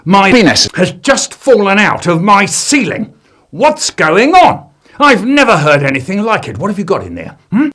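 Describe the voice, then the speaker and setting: A man's voice: sits high, huge pitch swings, normal speed, a bit bright, voice pushed up, exaggerated voice. A man doing an outraged blustering neighbour. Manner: indignant, blustering, threatening. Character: cross grumpy adult male neighbour.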